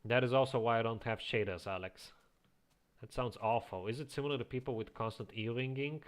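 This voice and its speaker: A man with a slight Eastern European accent, chatting casually as a streamer, reading chat aloud and chuckling often into a quiet home microphone. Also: medium pitch, a little fast. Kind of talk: casual live-stream chat while playing.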